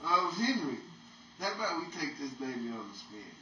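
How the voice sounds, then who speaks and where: A man acts out an eager, scheming pal in old time radio style. He talks in a glib, chummy way with a bright, slightly high voice. The recording is roomy, as if from a step back from the mic. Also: sing-song, normal speed. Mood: eager coaxing. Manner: glib, coaxing. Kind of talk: 1940s old time radio comedy acting. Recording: echoey, distant mic with faint noise.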